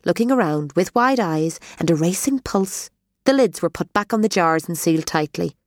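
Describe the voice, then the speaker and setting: Low-voiced woman reading an audiobook. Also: no room sound, clean studio sound. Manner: measured, expressive storytelling. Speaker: audiobook narrator. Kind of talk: scripted audiobook narration.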